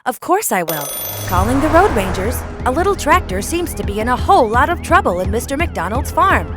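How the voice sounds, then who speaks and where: High-voiced motherly cartoon rescue vehicle. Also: sing-song, a little fast, music in the background. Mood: caring. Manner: soothing, motherly. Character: kindly, reassuring female rescue vehicle. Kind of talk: children's cartoon voice acting.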